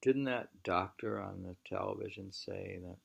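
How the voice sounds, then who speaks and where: An American man reading a literary book aloud in an unhurried, musing manner, often pausing to repeat or mull over phrases. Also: low voice, gravelly, a bit bright, husky. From New England, United States. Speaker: reader.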